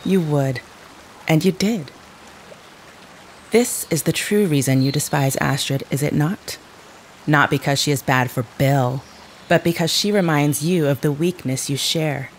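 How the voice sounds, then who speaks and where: A woman playing a cold, unsparing otherworldly woman in an audio drama, with measured, formal, precise diction. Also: sits low, a little hiss or hum. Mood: cold, accusing.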